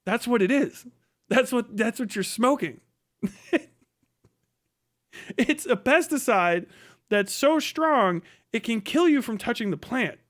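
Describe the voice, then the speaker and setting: A middle-aged man speaks in a notably high register for a male voice. He delivers a comedic livestream rant, blunt and mocking, with heavy casual swearing throughout. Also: lively intonation, takes it slowly, long pauses, a bit bright. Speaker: live streamer, host.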